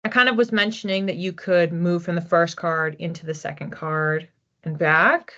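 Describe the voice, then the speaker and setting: A woman reading oracle cards for a general audience in a relaxed, chatty way, thinking aloud as she goes. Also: on the low side, moderate pace. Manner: chatty, thinking aloud with restarts and soft laughs. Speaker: psychic card reader. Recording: slightly dull lo-fi audio.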